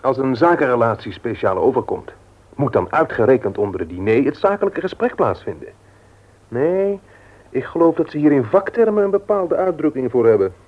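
A young man in an old radio drama, wry and opinionated. His voice is husky and mid-pitched, and the recording is dull and lo-fi. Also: very animated, moderate pace. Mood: disapproving, firm. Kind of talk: Dutch radio play acting.

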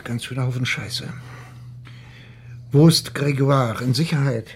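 An actor playing a learned, grumpy police inspector with a husky voice and a dry, sardonic manner in a radio crime drama. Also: male voice, medium pitch. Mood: musing, sardonic. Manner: dry, grumbling, deliberate.

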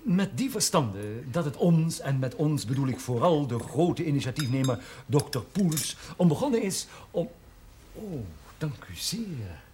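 An actor playing a courteous company administrator in a period television drama, formal, wordy and persuasive. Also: male voice, a little high, very animated, unhurried, warm tone. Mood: earnest, persuasive. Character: courteous, smooth-talking land company administrator on business. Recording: faintly noisy old television soundtrack.

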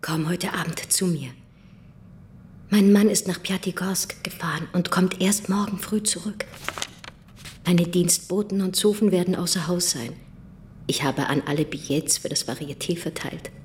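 A woman's voice: fairly low pitch, normal speed, darkish tone, a little hiss or hum, close to an everyday voice. An actress playing a passionate, anguished married woman in a secret affair, intimate and urgent, in a radio drama. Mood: secretive, whispering.

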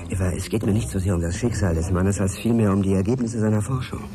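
Male actor for radio play journalist: courteous, determined, conversational delivery, very low register, café chatter behind him. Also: fairly even intonation, fast talker, close to an everyday voice. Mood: earnest, determined. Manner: probing, earnest, conversational. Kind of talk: naturalistic radio drama dialogue. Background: café crowd chatter.

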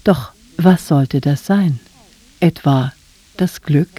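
A woman presenting a scripted literary introduction to a radio drama in a soft voice. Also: sits low, slow pace, breathy edge, no room sound.

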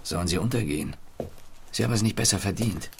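A man's voice: deep voice, expressive, fast talker, gravelly, a little hiss or hum. An actor playing a sceptical, indignant engineer, questioning his business partner incredulously in an audio docudrama. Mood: indignant, disbelieving. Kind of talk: scripted audio docudrama dialogue.

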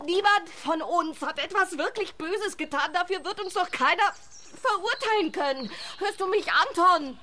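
A woman's voice: very high voice, normal speed, bright, forceful tone, slight background noise. An actress playing a distraught, guilt-ridden and stubbornly honest woman in a German radio drama, with a stammering, pleading delivery.

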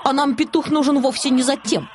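A woman voicing excited, fussy female hens in a children's story, with a clucking delivery. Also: high voice, a little fast, slight background noise, pitched above the performer's own voice, close to an everyday voice. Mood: indignant.

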